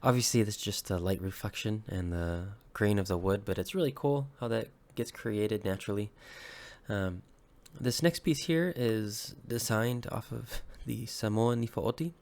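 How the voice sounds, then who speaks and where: A slightly sick man in his thirties, from Hawaii, talks low and unhurried through his collection of handmade pieces. He fills gaps with ums and uhs and often stops to correct himself. The delivery stays casual, like a home show-and-tell video. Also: a little mellow. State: slightly sick, recovering from illness. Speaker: woodworker and weapon maker.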